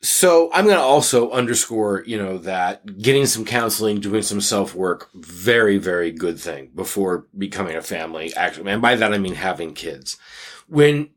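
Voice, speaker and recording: A man co-hosting an advice podcast, speaking conversationally with emphatic, deliberate phrasing and dramatic pauses. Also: on the low side, lively intonation. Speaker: podcast co-host.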